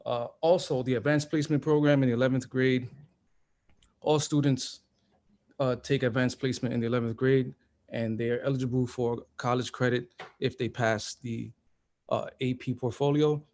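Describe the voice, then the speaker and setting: A man's voice: mid-range voice, fairly even intonation, darkish tone. Man teacher talking on a video call. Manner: plain, halting with many fillers. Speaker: high school teacher. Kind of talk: virtual school fair presentation.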